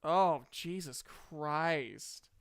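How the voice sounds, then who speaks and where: A man's voice: on the high side, very slow, smooth. A man narrating a tabletop game as game master, casual and improvised, with joking, profane asides. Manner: jokey, profane.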